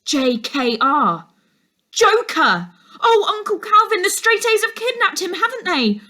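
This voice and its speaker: A woman voicing an anxious, stubborn young girl in a storybook, excitable and insistent. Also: very high voice, measured pace, voice pushed up, heavily acted. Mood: alarmed, urgent. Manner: excitable, urgent, pleading.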